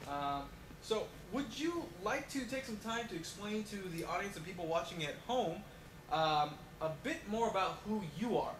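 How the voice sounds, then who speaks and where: A man hosts a casual mock talk show, his voice sitting a little high. He rambles and pads every thought with fillers. The recording sounds roomy and echoey, with a loud hiss of noise underneath. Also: lively intonation, normal speed.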